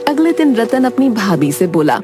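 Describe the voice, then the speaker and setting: A woman's voice: on the high side, big pitch swings, moderate pace, background noise. A woman narrating a cartoon moral story from a script.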